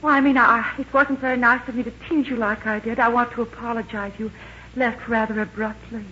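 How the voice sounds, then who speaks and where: Coy young lady, well-mannered, high-pitched and forward, dull vintage radio romantic comedy recording. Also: flat delivery, a little fast, bright, forceful tone. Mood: coaxing. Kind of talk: 1940s radio comedy-drama acting.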